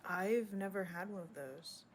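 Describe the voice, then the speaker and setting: A woman's voice: mid-range voice, unhurried, a little hiss or hum, natural voice. A woman playing a blunt, curious young patient in a radio drama, casual and inquisitive. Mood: puzzled. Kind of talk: modern radio drama acting.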